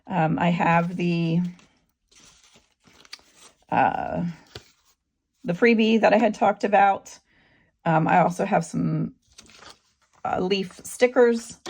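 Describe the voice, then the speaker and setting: A middle-aged woman with a sore throat whose voice keeps cutting in and out, talking through a craft tutorial in a relaxed, halting way with lots of ums. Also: lowish voice, creaky. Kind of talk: casual craft tutorial narration.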